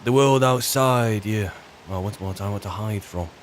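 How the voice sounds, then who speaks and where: Low-voiced rambling British adventurer, played by a man. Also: a little hiss or hum, close to an everyday voice. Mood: wistful musing. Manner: rambling, musing, wry, halting. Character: weary, chatty male wizard's servant turned adventurer, grumbling wryly. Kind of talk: in-character game roleplay monologue.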